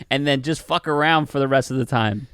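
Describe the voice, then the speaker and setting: A man's voice: mid-range voice, normal speed, fairly bright tone, clean tone, no echo, clean studio sound. A man co-hosting a podcast in casual chat, rambling and peppering his talk with "like" and swearing. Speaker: podcast co-host. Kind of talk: casual chat, film review.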